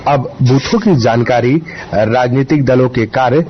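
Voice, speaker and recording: A muffled radio recording with faint noise carries a man's mid-pitched voice. He gives a scripted correspondent's report in a formal style. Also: a little slow. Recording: dull, faintly noisy radio field report.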